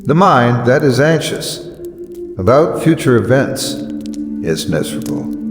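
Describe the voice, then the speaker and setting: A man delivers dramatic motivational narration with an animated, swooping intonation. He speaks slowly and solemnly, pausing for weight and stressing key words. His voice is drenched in long reverb. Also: medium pitch. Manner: deliberate, solemn, emphatic with weighty pauses. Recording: echoey long reverb over music. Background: background music.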